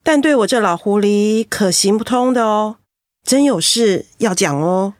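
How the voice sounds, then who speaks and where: A woman's voice: medium pitch, darkish tone, dry recording, clean studio sound, lightly acted. An actress playing a shrewd, motherly team leader who scolds fondly and probes, in a radio drama. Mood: probing, fond. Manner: brisk, probing, fondly scolding. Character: shrewd, motherly female team leader, self-styled old fox.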